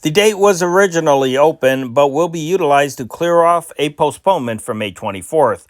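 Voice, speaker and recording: A man's voice: on the high side, lively intonation, a little fast, no echo, studio quality. A man reading old newspaper sports stories aloud as an amateur host, with a sing-song delivery that rises and falls sharply, and small slips and restarts. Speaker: newspaper reader, channel host.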